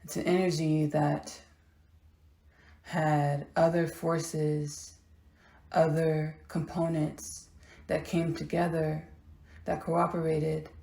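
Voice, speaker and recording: A woman from Texas, hosting a podcast and delivering a slow, halting spiritual monologue with long pauses. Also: sits low, vocal fry, mellow, echoey room. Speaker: podcast host.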